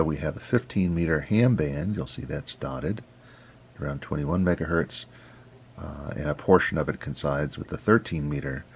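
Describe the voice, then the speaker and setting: A man hosting an informal tutorial, explaining things step by step in an unhurried, halting way. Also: very deep voice, gravelly, breathy edge. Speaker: host, radio hobby instructor.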